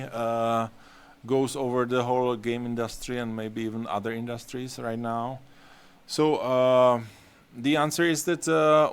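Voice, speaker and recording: This is a man whose first language is Czech, speaking in a casual question-and-answer session. His voice is smooth and resonant. He hesitates often and fills the gaps with "uh" and "you know" as he works out his phrasing. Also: mid-range voice. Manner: hesitant, many fillers, searching for words. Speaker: game studio staff answering questions. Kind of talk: casual Q&A talk.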